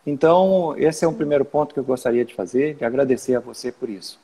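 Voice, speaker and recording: Measured man with a roomy echo. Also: medium pitch, dark tone, husky. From Minas Gerais, Brazil. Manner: measured, hesitant, many "é" and "né" fillers. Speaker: plant pathology researcher guest. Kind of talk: interview answers over video call.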